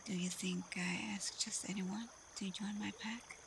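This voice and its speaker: Casting: actress for female werewolf pack leader, ASMR roleplay; unhurried, hushed, assured, warmly teasing and protective, mid-pitched. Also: steady tone, noisy background. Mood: teasing, intimate. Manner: soft, breathy, often whispered, unhurried. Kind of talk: slow ASMR roleplay addressed to the listener.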